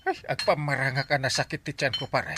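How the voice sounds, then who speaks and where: A man's voice: medium pitch, brisk, background noise, natural voice. A man playing a cowardly, scheming village captain in a comedy radio drama, with an Ilocano accent and a moaning, stammering, exclamatory delivery. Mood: panicky. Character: scheming tipsy male village captain faking agony, comic, cowardly.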